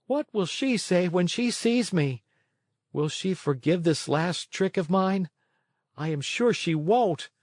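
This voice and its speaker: Man playing an excitable, high-pitched puppet boy. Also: slow pace, no room sound, clean studio sound, heavily acted. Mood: guilty, fretting. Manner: pleading, earnest, excitable. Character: impulsive wooden puppet boy, pleading and whiny.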